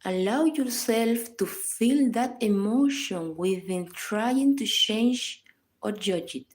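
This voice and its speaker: A woman reading a scripted guided meditation in a calm, measured, instructional manner. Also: a little high. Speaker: psychologist guiding a meditation.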